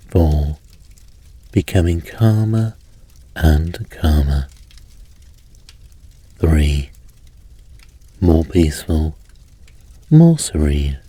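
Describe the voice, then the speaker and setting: Male sleep hypnosis guide, unhurried and gentle, soft breathy husk, measured pauses. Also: a bass voice, dry recording. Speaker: hypnosis and meditation host. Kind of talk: guided sleep hypnosis, slow soothing narration.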